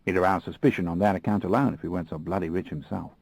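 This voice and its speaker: A man voicing a tall, alert, suspicious Australian fixer with an Australian accent and a brisk, businesslike manner. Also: deep voice, fairly even intonation, gravelly, darkish tone, no echo.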